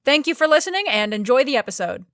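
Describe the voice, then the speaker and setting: A woman with a fairly high voice reads a scripted podcast announcement. The sound is close and dry, as a show's director addressing supporters. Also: very animated, fast, a bit bright, dry recording.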